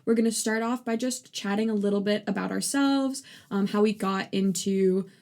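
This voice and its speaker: A woman's voice: mid-range voice, steady tone, moderate pace, darkish tone. Chatty young woman co-hosting. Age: 20s. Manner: casual, chatty, lots of "like". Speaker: podcast co-host, neuroscience student. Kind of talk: casual chat.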